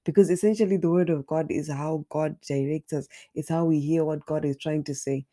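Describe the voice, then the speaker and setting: A woman with a hoarse, raspy voice from a flu, earnestly leading an online Bible study and sometimes repeating words as she searches for the next one. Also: low-pitched, fairly even intonation, brisk, warm tone.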